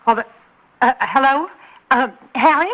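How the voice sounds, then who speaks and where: An actress playing a sharp-tongued, suspicious suburban wife in an old radio drama, speaking in a tart, indignant way. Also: female voice, sits high, measured pace. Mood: sly. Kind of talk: 1950s radio drama acting. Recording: dull old radio recording.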